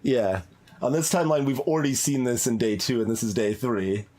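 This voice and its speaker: Halting man doing game commentary. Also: mid-range voice, warm tone. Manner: halting, musing aloud. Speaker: let's play streamer. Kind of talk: casual live game commentary.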